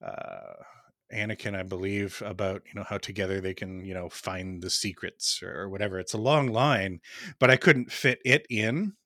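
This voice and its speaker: A man hosting a podcast in casual chat, with a smooth voice and a rambling, laughing way of talking. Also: deep voice, normal speed, pushed and bright, no echo, clean studio sound. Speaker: podcast host.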